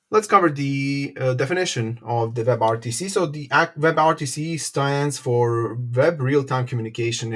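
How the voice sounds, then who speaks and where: A man presenting an informal live tech tutorial, explaining step by step in a halting way with frequent pauses. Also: mid-range voice. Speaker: presenter, company developer advocate.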